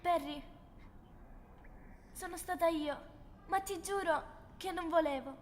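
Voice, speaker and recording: A woman's voice: very high voice, slow, a little hiss or hum. A woman voicing an unhinged girl villain in an amateur parody dub, melodramatic and crude. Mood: guilty, confessing.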